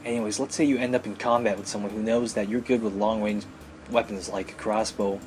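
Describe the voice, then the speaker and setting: A man's voice: lowish voice, steady tone, fairly quick, darkish tone, a little hiss or hum, close to an everyday voice. A man voicing a bossy, teasing teenage little sister from an anime visual novel, lecturing and scolding.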